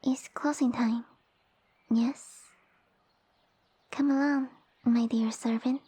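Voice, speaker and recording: A woman voicing a small, sassy female lamia who rules her village, speaking softly and breathily with formal, imperious phrasing in an ASMR roleplay. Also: on the high side, fairly even intonation, slow, no room sound, exaggerated voice. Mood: commanding, smug. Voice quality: soft, breathy, whispery. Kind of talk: ASMR roleplay audio addressed to the listener.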